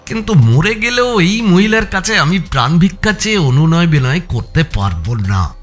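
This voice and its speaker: A man narrating a suspense thriller in a dramatic, expressive audio story style, with music behind him. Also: on the high side, moderate pace. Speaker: narrator reading a first-person thriller.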